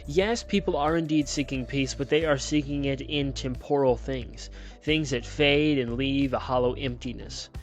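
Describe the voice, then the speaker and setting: A man's voice: medium pitch, steady tone, normal speed, soft background music, lightly acted. A man voicing a smiling male television preacher who sermonizes in a sing-songy cadence. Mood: preaching. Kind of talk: storybook reading.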